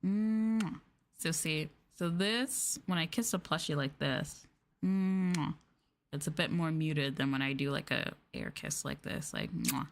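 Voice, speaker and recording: A soft-spoken woman with a slightly low voice chats in a casual ASMR style. She sits close to the microphone, talking to viewers in a gushing, affectionate way. Her delivery often drifts toward a near-whisper. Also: unhurried, vocal fry. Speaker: ASMR streamer, VTuber host.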